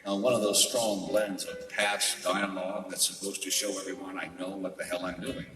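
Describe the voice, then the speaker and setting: An actor playing a cynical, wisecracking private detective in a noir radio drama, with a wry, tough-talking delivery. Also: male voice, deep voice, little pitch movement, moderate pace, sharp tone. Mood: wry narrating. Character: wisecracking hardboiled male private detective, cynical and self-aware. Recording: roomy hall, distant mic, music behind.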